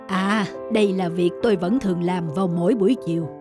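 An actor playing a modest older resort owner in a cartoon, speaking in a measured, firm, unassuming way. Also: male voice, high-pitched, lightly acted. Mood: calm, modest. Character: calm, modest older male resort owner picking up litter. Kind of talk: cartoon voice acting. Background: faint music.

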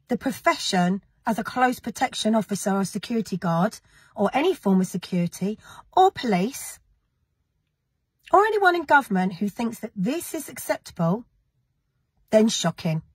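Gravelly woman, husky and hoarse. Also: fairly high pitch, dry recording. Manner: indignant, emphatic, addressing critics directly. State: gravelly, recovering from illness, with a cough. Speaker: activist vlogger. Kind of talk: direct-to-camera vlog.

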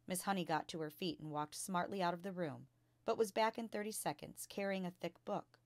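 A woman reading a children's story aloud as a storytime reader, with clear, expressive delivery. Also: lowish voice, fairly quick, dry recording. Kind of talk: children's book read-aloud.

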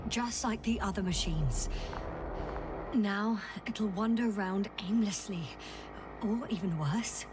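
An actress playing a level-headed, wary space crew scientist in a video game, reporting in short conversational phrases. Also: female voice, mid-range voice, takes it slowly, background noise, close to an everyday voice. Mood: guarded.

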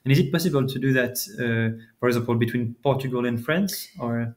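A man's voice: mid-range voice, brisk. Man explaining a business scenario. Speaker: co-presenter in the client's role.

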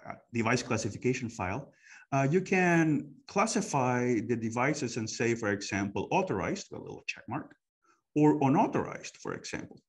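A man with a mid-pitched voice talks through a technical product demonstration. He explains things off the cuff and conversationally, like an expert walking someone through each step.

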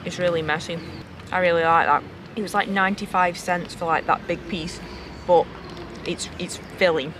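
A woman's voice: on the low side, fairly even intonation, moderate pace. A woman, a travel vlogger chatting casually outdoors. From Britain. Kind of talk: casual vlog chat. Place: outdoors in a city park. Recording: noisy outdoor location sound.

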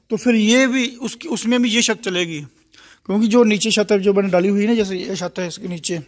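A man's voice: sits high, darkish tone. A man with a Punjabi-tinged Hindi accent walking through his poultry shed, explaining in a plain, repetitive way and speaking straight to the viewer, recorded on a phone he carries. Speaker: poultry farmer giving a shed tour. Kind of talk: casual walk-through explanation. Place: large open-sided poultry shed under construction. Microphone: phone camera held while walking.

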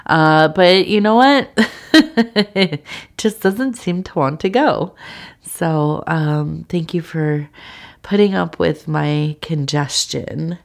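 Stuffy-nosed woman doing an asmr reading, talks low and really slow, lots of ums. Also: creaky. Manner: slow, meandering, with many fillers and soft laughs. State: congested from a cold. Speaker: tea leaf reader. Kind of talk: soft-spoken ASMR tarot-style reading.

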